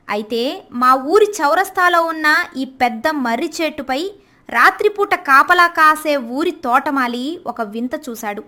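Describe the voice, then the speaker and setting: A woman voicing a clever, bold young village wife in an animated story. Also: high voice. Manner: confident, clear explaining.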